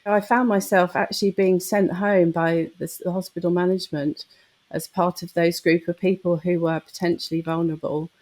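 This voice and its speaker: Brief: English woman, nurse, lower register, calm and articulate account. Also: normal speed. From south of England. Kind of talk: interview testimony.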